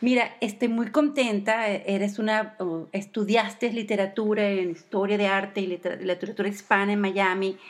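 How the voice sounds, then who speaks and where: A woman radio host interviews her guest. She asks long, rambling questions and pauses often to find her words. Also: medium pitch, steady tone. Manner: warm, rambling questions with hesitations.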